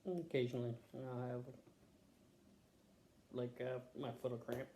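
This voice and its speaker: A man's voice: mid-range voice, fairly even intonation, measured pace, warm tone, slight background noise. A man answering health questions as a patient, in terse, casual, offhand replies.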